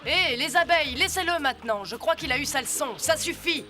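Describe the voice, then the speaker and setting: Boy lion cub, fairly high voice, earnest, fair-minded, cartoon dub, music behind. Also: expressive, fairly quick. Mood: protesting. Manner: earnest, reasoning. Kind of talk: French cartoon dubbing.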